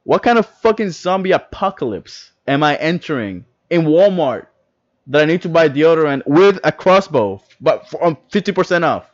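Excitable, rambling young podcast man. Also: fairly high pitch, normal speed, dry recording, lo-fi sound. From Dominican Republic. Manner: rambling, excitable, swears freely. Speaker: podcast co-host. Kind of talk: casual podcast chat.

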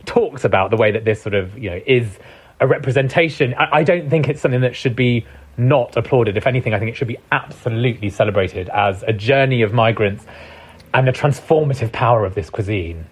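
Male author, mid-pitched, reflective and halting, many "you know"s. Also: slightly rough. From Britain. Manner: thoughtful, searching for words, many fillers. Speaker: cookbook author guest. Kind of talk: reflective conversational interview.

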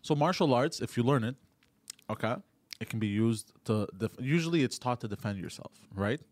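A man co-hosting a podcast, bringing up news topics in casual chat, halting and often repeating words as he talks. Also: medium pitch, animated, a little mellow, no echo. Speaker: podcast co-host.

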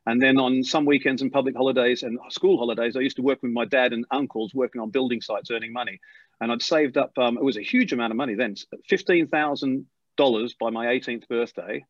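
A man's voice: mid-range voice, dry recording. A middle-aged man with an Australian accent worn down by years in England, telling an interviewer about his path to becoming an airline pilot with plenty of restarts. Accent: Australian, softened by years in England. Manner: animated storyteller, quick with many restarts. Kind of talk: storytelling interview. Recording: slightly dull lo-fi sound.

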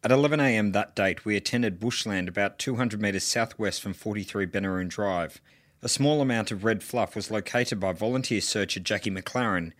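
A man's voice: lowish voice, moderate pace. A man reading out a police statement in a formal style.

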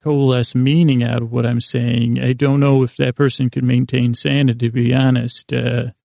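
A man with a creaky voice hosting a sleep podcast, delivering a whimsical, meandering monologue that halts, trails off and doubles back absent-mindedly. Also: medium pitch, flat delivery, moderate pace, dark tone, no echo, clean studio sound. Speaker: podcast host.

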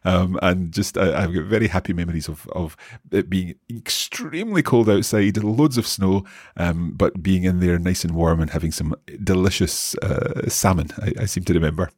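A man's voice: sits low, moderate pace, a little mellow, husky, dry recording, clean studio sound. A man hosting a language lesson as a learner, talking hesitantly with many restarts and asking curious questions. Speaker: language podcast host, learner. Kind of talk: casual language lesson chat.

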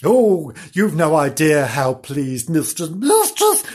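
A storyteller voicing Bernard, an excitable, reckless male nursery animal who talks in a gleeful, bossy way. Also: mid-range voice, slow, breathy edge, heavily acted. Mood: eager.

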